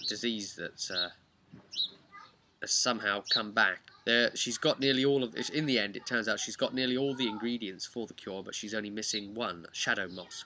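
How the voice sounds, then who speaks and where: A man casually retelling a tabletop role-play story in a halting, chatty way with wry asides. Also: fairly low pitch. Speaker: host of a tabletop role-play campaign recap. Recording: clear background noise with birdsong.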